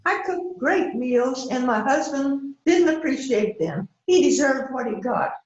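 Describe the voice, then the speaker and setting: Prim, unrepentant dinner-party murderess, played by a woman for amateur mystery; high voice, dull echoing audio. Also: expressive, unhurried, a little mellow. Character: polite, coolly unrepentant wife hosting a dinner party, a murderer. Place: indoors on a virtual event call. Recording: very echoey, dull video call audio.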